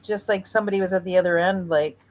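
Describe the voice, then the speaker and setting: A woman co-hosting a podcast, reacting in a chatty, animated way. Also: lowish voice, quick pace. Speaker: podcast co-host. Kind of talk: casual chat.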